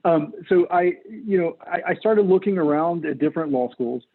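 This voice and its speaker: A middle-aged American man giving earnest, measured answers as a political candidate in an interview. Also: high-pitched, animated, narrow, tinny audio. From North Carolina, United States. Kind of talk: interview answers, campaign talk.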